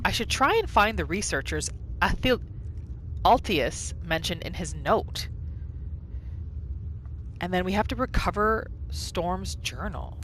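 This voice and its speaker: A woman's voice: lowish voice, lively intonation, slow pace, pauses often, a bit bright, low-quality recording. A woman giving casual game commentary on her roleplay playthrough, thinking aloud and exclaiming readily. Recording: clear noise behind the voice.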